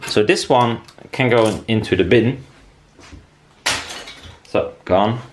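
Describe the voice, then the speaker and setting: Man, lower-pitched voice, very unhurried pace, casual DIY demo, rambling, thinking aloud. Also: a little hiss or hum. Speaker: DIY maker presenting a build video. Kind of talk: casual workshop demonstration.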